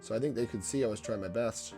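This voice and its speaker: A man's voice: lowish voice, quick pace, music in the background. A congested man chatting casually and rambling with his live chat while he paints in his home art studio. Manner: rambling, chatty, many restarts and asides to chat. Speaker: painter and livestreamer.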